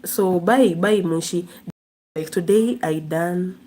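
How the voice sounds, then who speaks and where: A chatty woman hosts a relaxed live stream, talking straight to her viewers and laughing often. Her voice sits a little low and sounds mellow and warm. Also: slow. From Kenya. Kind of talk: casual live chat reading viewer comments.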